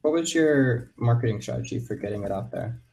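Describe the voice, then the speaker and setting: A man with a medium-pitched voice hosts a casual interview. He puts his questions informally, with slightly hesitant phrasing. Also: expressive, brisk, darkish tone, rough recording. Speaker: interviewer, meetup host.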